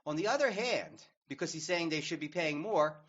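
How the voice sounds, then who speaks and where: A man's voice: fairly high pitch, expressive, moderate pace, a bit bright, lo-fi sound. A man arguing his political opinions on a talk radio panel in a sarcastic, argumentative manner.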